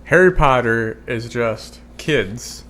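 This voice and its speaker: A man's voice: medium pitch, animated, slow, a bit bright. A man co-hosting a casual podcast, easygoing and playfully argumentative. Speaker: podcast co-host. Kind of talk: casual chat.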